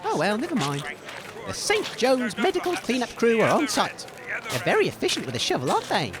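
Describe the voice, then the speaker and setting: A British man playing a cheerful, naive radio host, earnest and excitable, giving mock event commentary in an audio comedy. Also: extremely high pitch, fairly quick, a crowd in the background, full character voice. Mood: bright, chirpy. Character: cheerful naive male radio host, gently chiding his co-host.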